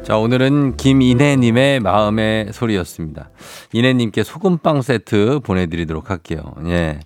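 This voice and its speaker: A man hosting a radio show, reading news items and chatting with a guest in a calm, measured way. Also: lowish voice, soft background music. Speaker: radio host.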